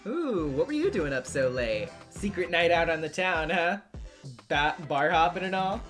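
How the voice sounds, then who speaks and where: A boisterous young woman from a visual novel , acted by a solo performer. The voice is pushed higher and bounces with playful, mock-coy teasing. Music plays clearly behind. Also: animated, normal speed, fairly bright tone, lightly acted.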